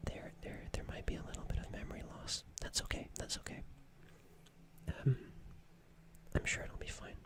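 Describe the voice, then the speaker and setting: A performer playing a gentle, nervous alien in a whispered ASMR roleplay, speaking in a breathy, hesitant, stammering and soothing way. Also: moderate pace, natural voice. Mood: reassuring, concerned. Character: gentle, nervous alien caring for an injured human.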